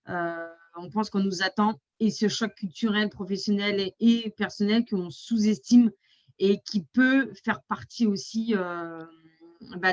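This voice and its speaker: A woman's voice: mid-range voice, no echo, dull audio. A woman from France speaking as an export advisor on a webinar panel, with many fillers and restarts.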